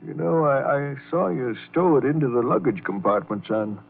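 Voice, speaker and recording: A man's voice: medium pitch, lively intonation, moderate pace, creaky. An actor playing a folksy, sly, paternal older man in an old-time radio crime drama, slangy, wry and confiding. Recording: narrow vintage radio recording.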